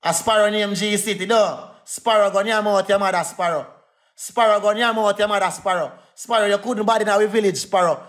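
A man's voice: high-pitched, steady tone, fairly bright tone. A man with a Jamaican Patois accent ranting angrily on a phone livestream, repeating insults over and over. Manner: repetitive, insulting, fast heated rant. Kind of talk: angry livestream rant.

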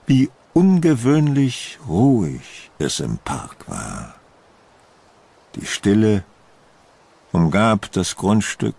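Gravelly male horror narrator, slow-paced. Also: low-pitched, darkish tone. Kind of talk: dramatic scripted horror story narration.

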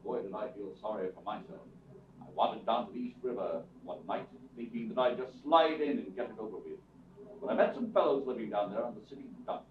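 An actor in a radio theatre show playing a courteous, dignified butler with a wry streak and measured, formal speech. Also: male voice, on the low side, natural voice. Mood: reflective. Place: theatre stage before an audience. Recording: distant echoey stage, tinny, faint noise.

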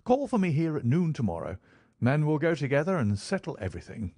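A man voicing an eager, energetic eccentric amateur detective with brisk, cheerfully candid speech, as in an audiobook. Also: fairly high pitch, dry recording, pitched above the performer's own voice, heavily acted. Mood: cheerful, excited. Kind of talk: audiobook character dialogue.

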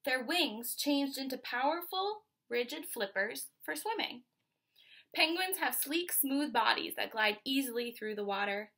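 A woman in her twenties with an American accent reads a children's picture book aloud like a teacher, pausing to explain and ask questions. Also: high voice, animated, bright, forceful tone. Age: 20s. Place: small furnished room at home, indoors.

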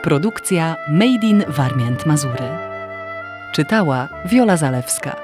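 A woman's voice: sits low, animated, unhurried. Woman narrating a fairy tale, music behind.

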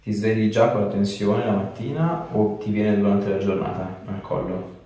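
Low-voiced man in an echoey room. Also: steady tone, normal speed, mellow. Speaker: chiropractor. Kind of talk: hands-on exam talk with short questions and instructions. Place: small bare treatment room, indoors. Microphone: camera a few feet away. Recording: echoey room, distant mic, faint noise.

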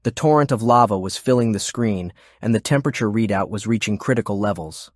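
Guy reading a dramatic audiobook, lowish voice, really dry sound no room. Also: expressive, a little fast, no echo. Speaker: audiobook narrator. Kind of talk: scripted dramatic narration.

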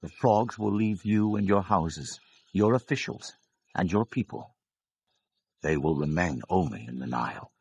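An actor playing an earnest, firm Hebrew prophet with a measured, solemn manner in a scripture audio drama. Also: male voice, low-pitched, lively intonation, darkish tone, slightly rough, highs cut off, exaggerated voice. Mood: solemn assurance.

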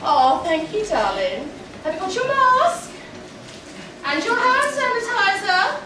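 A woman's voice: very high voice, big pitch swings, slow, noisy background, heavily acted. A woman playing a melodramatic, doting pregnant mother in a broad, gushing pantomime style. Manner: theatrical, gushing, exaggerated. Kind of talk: broad pantomime stage acting. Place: on stage in a small theatre. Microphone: far camera from the audience. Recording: distant, echoey theatre hall with music.

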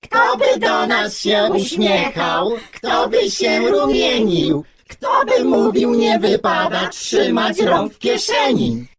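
A woman reciting rhyming verse on a children's radio show in a loud, sing-song delivery. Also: on the high side, huge pitch swings, takes it slowly. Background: prominent music.

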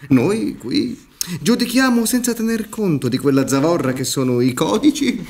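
A man's voice: sits high, lively intonation, normal speed, vocal fry, a little mellow. A man acting in a radio drama as a genial, eloquent judge with an orotund, courteous, amused delivery. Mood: genial, grandiloquent. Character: genial, eloquent retired judge, mocking legal formality. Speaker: actor. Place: theatre stage set up for radio play.

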